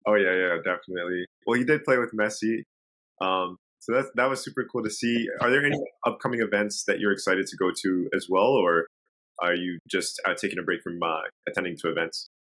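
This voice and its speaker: Fast-talking low-voiced male host. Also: pushed and bright, poor audio. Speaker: interview host. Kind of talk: casual interview questions.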